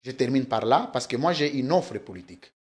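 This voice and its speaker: A man's voice: medium pitch, animated, fairly quick. A man, a political guest arguing insistently and talking over the host. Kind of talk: heated political debate.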